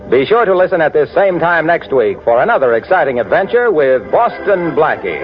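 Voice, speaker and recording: A man's voice: on the high side, big pitch swings, a little fast, music in the background. A man announcing on 1940s radio, reading scripted copy in a folksy, emphatic salesman's manner. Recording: muffled 1940s radio recording.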